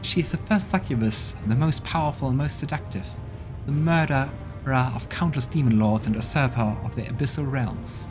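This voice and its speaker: A man's voice: medium pitch, normal speed, lightly acted. A man voicing a proud, scornful female demon ruler from a role-playing game, speaking in a smug and venomous way. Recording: game music playing behind.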